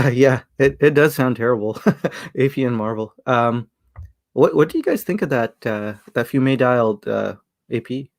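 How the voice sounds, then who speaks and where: A man's voice: medium pitch, unhurried, vocal fry. Hesitant man hosting a casual livestream. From Sri Lanka. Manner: hesitant, many fillers and restarts, chuckles often. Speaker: livestream host reading chat.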